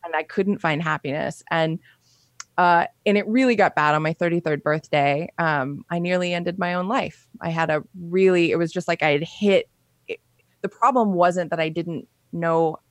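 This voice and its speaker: Female guest, lowish voice, dry close sound, candid chatty storyteller. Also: moderate pace, no echo. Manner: frank and conversational, with frequent fillers. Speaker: podcast guest.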